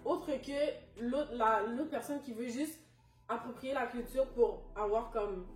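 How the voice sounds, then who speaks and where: A woman's voice: a little high, takes it slowly. A young woman, speaking frankly and emphatically as a guest in a casual panel discussion. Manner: frank, emphatic, mixes English words. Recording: echoey room, distant mic, soft music.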